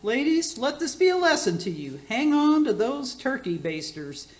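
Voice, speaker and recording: A woman's voice: high voice, sing-song, moderate pace. A woman playing a tipsy, bawdy middle-aged auntie who hosts a radio cooking show in a comedy play, rambling and gushing with slurred word slips. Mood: cooing, bawdy. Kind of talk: community theater radio comedy acting. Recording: roomy, echoing space.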